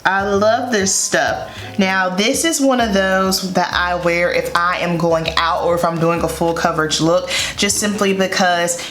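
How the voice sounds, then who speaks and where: A woman's voice: fairly low pitch, fairly even intonation, measured pace, fairly bright tone. A woman in her thirties with a Southern US accent talks through a makeup tutorial at her vanity, chatty and upbeat, often repeating words for emphasis. Her voice is a touch congested from a cold. The room is echoey, with faint music behind her. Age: 30s. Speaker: beauty vlogger. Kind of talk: casual makeup tutorial chat. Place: bedroom vanity room, indoors.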